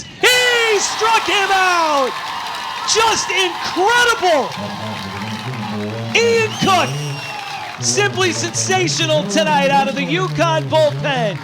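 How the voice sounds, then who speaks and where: A man's voice: very high-pitched, poor audio. A man doing live baseball play-by-play as the announcer, in short, emphatic phrases. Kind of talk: live sports play-by-play commentary.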